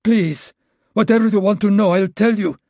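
A man's voice: normal speed, gravelly, no room sound, studio quality. A man doing a panicked, pleading captive. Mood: desperate. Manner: pleading, stammering, high and loud. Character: panicked male server owner, cornered and begging.

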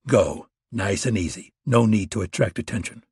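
A man voicing a cold, menacing male operative who talks low and clipped. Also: moderate pace, a little mellow, slightly rough, dry recording, clean studio sound.

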